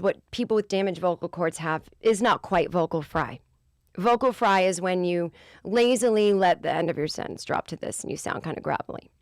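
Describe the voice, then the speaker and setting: A woman in her forties hosting a podcast, with a low voice, ranting in an exasperated, profane way in a casual comedic monologue. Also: dry recording, clean studio sound. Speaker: podcast host.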